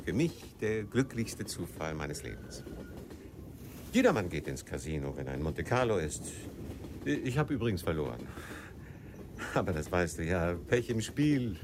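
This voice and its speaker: A man's voice: low voice, big pitch swings, unhurried, background noise. An actor in a radio play voicing a middle-aged man in love, suave and evasive with his partner. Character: middle-aged male lover, smooth-talking, evasive, with grown son.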